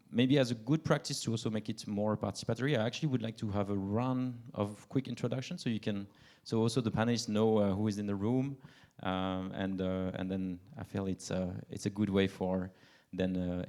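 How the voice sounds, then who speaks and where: A male moderator speaks into a handheld mic in a large conference hall. His voice is low and dark in tone, and he hesitates, filling gaps with uh and um as he restarts phrases. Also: fairly even intonation, fairly quick. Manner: hesitant, many fillers and restarts.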